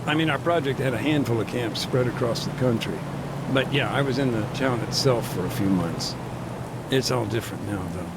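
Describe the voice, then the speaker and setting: An actor playing a thoughtful aid worker in a war zone, talking on the phone from a car in a relaxed, hesitant way. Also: male voice, medium pitch, moderate pace, very noisy, natural voice. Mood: calm. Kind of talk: video game cutscene dialogue. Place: moving vehicle. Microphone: phone call.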